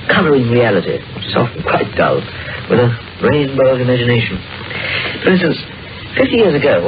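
An actor as a musing, expressive doctor, vintage radio. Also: male voice, mid-range voice, lightly acted. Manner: musing, storytelling, deliberate phrasing. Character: imaginative, musing, dryly amused small-town doctor, a man. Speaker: actor in a radio mystery drama. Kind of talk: old-time radio drama acting. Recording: muffled vintage radio recording with music.

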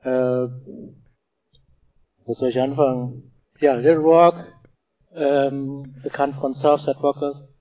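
Male guest dancer, very slow and halting, dry and dull remote sound. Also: medium pitch, steady tone, no room sound. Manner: halting, brief phrases. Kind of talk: casual interview talk.

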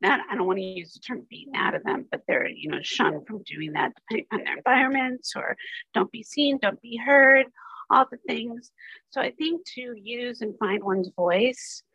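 Hoarse woman host, gravelly and dry. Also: fairly high pitch, lively intonation, pushed and bright, no room sound, muffled recording. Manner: earnest, candid, with quick asides. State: rough, hoarse voice. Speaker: podcast host. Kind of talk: conversational interview.

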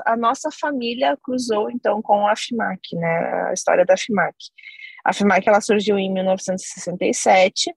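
A woman's voice: medium pitch, gravelly, husky, dry recording. A woman in her thirties from Brazil, a guest on a podcast, chatting casually and telling a long story with many fillers and asides. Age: 30s. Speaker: podcast guest, company CEO.

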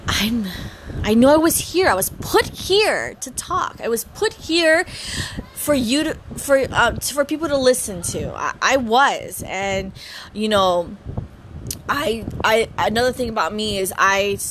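A woman's voice: fairly high pitch, animated, unhurried, a bit bright, rough recording. Woman in her thirties, from Hawaii, casual podcast chat, stutters and restarts, audible background noise. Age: 30s. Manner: chatty, giggly, stutters and restarts often. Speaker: podcast host. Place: home.

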